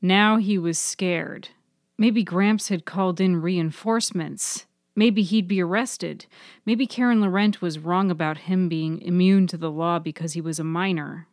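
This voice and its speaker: Lower-voiced woman narrating fiction, close and dry. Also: measured pace, a little mellow, dry recording. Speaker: writer and narrator of serial fiction podcast. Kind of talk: scripted audio fiction narration.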